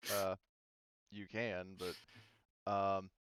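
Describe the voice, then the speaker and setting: A man's voice: deep voice, flat delivery, very slow, fairly bright tone, slight background noise. A man talking casually and easygoing as game master in a group tabletop role-playing discussion. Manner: easygoing, laughs readily.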